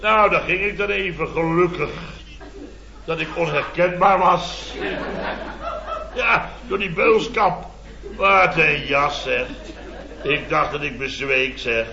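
A man's voice: on the high side, slow, vocal fry, long pauses, crowd noise. A Dutch man acting a blustering, self-important building-firm boss in a radio comedy, rambling indignantly. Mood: exasperated, amused. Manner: indignant, rambling storytelling with tag words. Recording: dull, echoey vintage radio recording with audience.

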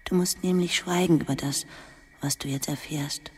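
A woman playing a dying, sorrowful schoolmistress in an intimate radio drama, breathy, half-whispered, tender and confiding. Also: sits low, fairly even intonation. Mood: confiding, sad. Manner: slow, hushed, confiding, tender. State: weak, dying (acted).

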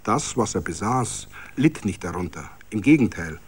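A man reading scripted literary narration for a radio play in a measured storytelling manner. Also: lowish voice, very animated, breathy edge. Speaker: narrator and reader of all parts.

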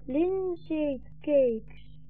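A woman's voice: high-pitched, very slow. A woman playing a tired, earnest, polite thirteen-year-old boy in a 1960s radio play. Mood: curious. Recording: dull 1960s radio recording, music behind.

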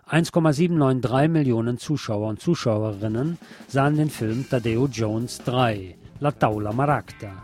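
A man's voice: mid-range voice, normal speed, hardly any pauses, a little mellow. A man presenting news items as a scripted magazine-style read. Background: music.

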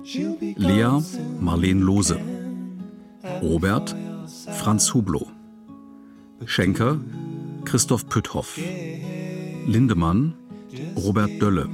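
A man reading scripted radio play credits as an announcer, measured and formal. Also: on the low side, lively intonation. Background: plucked guitar music.

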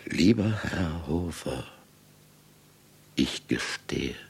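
An actor in a German radio play as a celebrated writer who smugly confesses to murders, urbane, condescending and theatrically deliberate. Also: male voice, rumbling low, expressive, gravelly, slightly rough, heavily acted. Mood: solemn, confessing.

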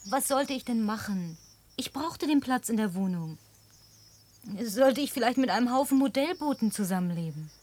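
A woman's voice: fairly high pitch, expressive, normal speed, darkish tone, a little hiss or hum, lightly acted. A woman acting in a German radio play as a headstrong, challenging companion who is direct and probing. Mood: defiant. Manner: direct, probing, formally addressing her companion. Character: headstrong, challenging woman sharing a home with a drifter.

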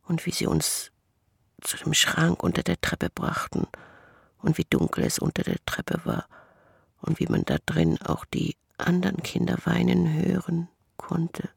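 A man voicing a scarred, obsessive twin brother in a radio drama, insistent and secretive, with a breathy, raspy whisper. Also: on the high side, sing-song, measured pace, dry recording, studio quality, exaggerated voice. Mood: urgent, secretive. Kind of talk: intimate radio drama acting.

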